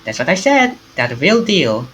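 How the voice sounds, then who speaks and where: Man acting as young woman, game character, raised pitch, playful, eager, chatty, lively intonation. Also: normal speed, faint music behind. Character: quirky, excitable young woman hunting occult legends.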